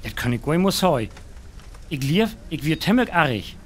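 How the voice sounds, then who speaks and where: A man's voice: on the high side, sing-song, normal speed, a little hiss or hum. A man playing a flustered, naive rural eyewitness who rambles through a radio play interview. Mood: excited recounting.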